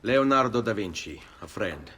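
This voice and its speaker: An actor playing a determined young Italian assassin in a video game, speaking with an Italian accent. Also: male voice, lowish voice, animated, normal speed, a bit bright, slight background noise. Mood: earnest. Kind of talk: video game cutscene acting.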